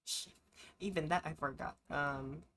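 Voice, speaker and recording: Low-voiced woman streamer from the Philippines. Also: unhurried, fairly bright tone. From Philippines, Benguet region. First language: a Philippine language. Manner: rambling, hesitant, many ums and laughs. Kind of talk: casual live-stream chat.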